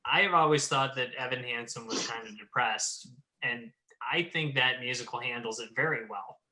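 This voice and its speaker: Male host, very bright and forceful mid-pitched voice, wry and emphatic, loose round-table chat. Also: normal speed, poor audio. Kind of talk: casual group discussion.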